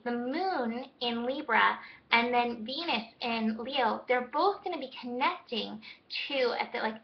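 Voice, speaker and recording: A woman astrologer giving a daily horoscope in a gentle, reflective, spiritual way, her voice hoarse as she loses it. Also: on the high side, dull audio.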